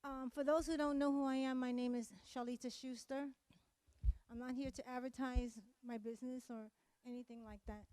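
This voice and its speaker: A woman's voice: high voice, level tone, normal speed, darkish tone. A woman speaks publicly as a community member. Her delivery is impassioned and emphatic, leaning on repeated phrases. The sound is dry, with very little echo. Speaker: community member giving testimony. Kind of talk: impassioned public speech at a memorial event.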